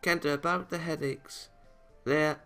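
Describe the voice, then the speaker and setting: A man's voice: on the high side, fairly even intonation, unhurried, vocal fry, fairly bright tone, clean tone, soft background music, pitched above the performer's own voice. A man voicing cheerful, pushy anime schoolgirls from a visual novel. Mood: concerned.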